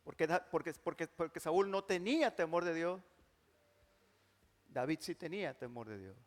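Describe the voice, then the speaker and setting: A male pastor, preaching emphatically and moving from loud declarations to hushed, drawn-out phrases. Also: a little high, expressive. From Mexico. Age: 60s. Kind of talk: sermon. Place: large church hall. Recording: long church hall reverb.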